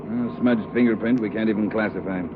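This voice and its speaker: An actor playing a gruff, determined chief of detectives, terse and blunt, in old radio crime drama. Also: male voice, fairly low pitch, steady tone, brisk, natural voice. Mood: terse, businesslike. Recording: noisy muffled old radio recording.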